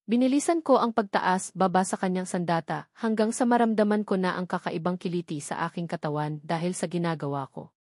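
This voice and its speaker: A woman's voice: on the low side, fairly quick, darkish tone, dry recording, studio quality. A woman with a Filipino accent reading a scripted first-person story as the narrator of an audio novel.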